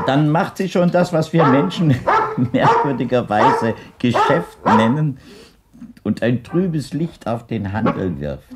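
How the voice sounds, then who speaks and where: An actor playing a courteous, wistful blind widower in a German radio play, speaking in florid, halting, ceremonious phrases. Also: male voice, mid-range voice, very noisy. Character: blind widowed older man, courteous, wistful, insinuating visitor.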